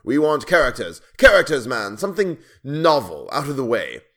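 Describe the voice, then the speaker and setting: A man's voice: medium pitch, very animated, normal speed, fairly bright tone, voice pushed up. A man voicing a cruel, imperious storybook king, a hearty bully given to roaring and impatience. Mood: domineering, jovial. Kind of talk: storybook narration character voice.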